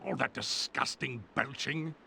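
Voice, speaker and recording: A man's voice: mid-range voice, measured pace, vocal fry, bright, forceful tone, slight background noise, full character voice. A man voicing a haughty evil-genius villain in a cartoon video game, with dry theatrical delivery.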